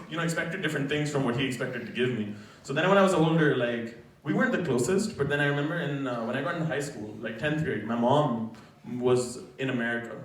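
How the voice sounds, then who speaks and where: A young man from Pakistan telling a comedic personal story on stage at a college event, in a casual, chatty, self-deprecating way. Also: medium pitch. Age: young adult. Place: college event room with live audience. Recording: echoey hall, picked up from far off, noisy.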